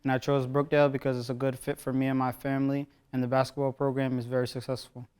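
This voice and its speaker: A young male athlete from New Jersey gives a short testimonial. His voice is smooth and clear, medium in pitch, with little melodic movement. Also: completely flat delivery, quick pace, a little mellow. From New Jersey, USA. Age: young adult. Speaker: college basketball player. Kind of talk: self-introduction testimonial.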